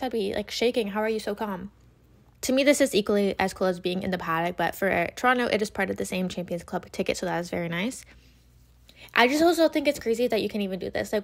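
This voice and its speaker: A young woman chatting excitedly into her phone camera in a bedroom, with lots of "like"s. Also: mid-range voice, gravelly. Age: young adult. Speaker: vlogger. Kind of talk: casual vlog chat. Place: bedroom indoors. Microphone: phone camera at arm's length.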